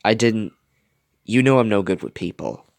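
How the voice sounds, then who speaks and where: A man's voice: on the low side, moderate pace, no room sound, lightly acted. A man voicing a blunt, guarded young woman in a dramatic story, curt and defensive. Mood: hesitant, sorry.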